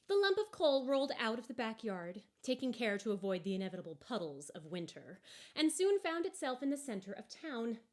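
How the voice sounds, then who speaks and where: A woman narrating a story aloud from a book in an expressive, deliberate and wry way. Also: fairly high pitch. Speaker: storybook reader.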